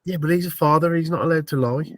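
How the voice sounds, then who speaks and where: A man's voice: a little high, fast, dry recording, clean studio sound. A man chatting casually as a player in a tabletop roleplay game.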